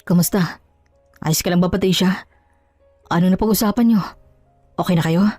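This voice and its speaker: A woman's voice: on the low side, fairly even intonation, normal speed, mellow, no echo, soft background music, lightly acted. A woman voicing a concerned male friend in an audio drama, sympathetic and reassuring. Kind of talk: Tagalog audio drama acting.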